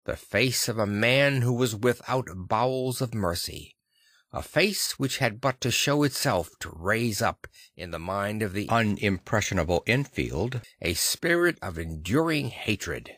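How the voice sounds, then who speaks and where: A man reading literary fiction as an audiobook narrator, with a measured, deliberate storytelling delivery. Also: fairly low pitch, fairly bright tone, no room sound, studio quality.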